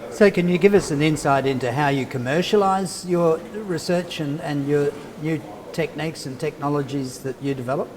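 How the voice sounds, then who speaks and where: A man's voice: a little high, normal speed, hardly any pauses, darkish tone. A man interviewing a scientist, asking long leading questions. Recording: reverberant with faint noise.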